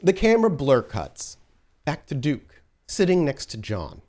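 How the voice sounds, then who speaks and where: A man's voice: a little high, very animated, unhurried, a little mellow. A man running a tabletop role-playing game as storyteller, giving vivid sensory descriptions with frequent restarts.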